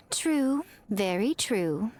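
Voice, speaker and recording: A woman's voice: on the high side, big pitch swings, very slow, slight background noise, full character voice. A woman playing a sly, elegant shrine priestess in a video game, coy and theatrically teasing as she draws out her words. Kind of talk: English video game voice acting.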